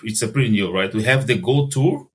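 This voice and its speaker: A man's voice: fairly low pitch, husky. A Brazilian man with a Brazilian Portuguese accent, answering interview questions in casual conversation, often stopping and restarting his phrases. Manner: halting, restarts phrases, non-native grammar. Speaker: podcast guest, translator.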